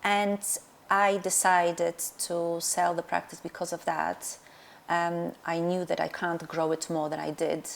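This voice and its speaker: A woman's voice: on the low side, level tone, unhurried, creaky, hardly any pauses, a little hiss or hum. A middle-aged woman from Poland with a Polish accent, a dentist answering interview questions hesitantly, with many fillers and restarts. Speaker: dentist and practice owner.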